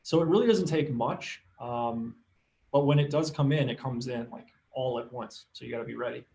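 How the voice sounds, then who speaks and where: Casual male market analyst, mid-pitched. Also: moderate pace, gravelly, a little mellow, rough recording. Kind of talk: casual live chart commentary.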